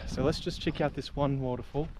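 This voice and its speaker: A man's voice: mid-range voice, a little fast. A man vlogging as he hikes outdoors, chatting casually to viewers as he walks along rural roads. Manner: relaxed, chatty, talks to viewers while hiking. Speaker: travel vlogger. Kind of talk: casual walking commentary. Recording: noisy outdoor camera audio.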